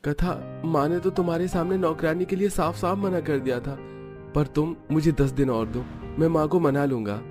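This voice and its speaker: Cartoon son, young adult, played by a man: reasonable, pleading peacemaker, slightly high voice, prominent music underneath. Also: steady tone, moderate pace, natural voice. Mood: persuasive. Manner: polite, reasoning, coaxing. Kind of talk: Hindi cartoon story dialogue.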